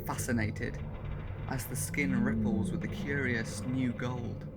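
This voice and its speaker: A man narrating a dramatic science-fiction story, measured and building to loud, intense passages. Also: medium pitch, fairly even intonation, heavy background noise.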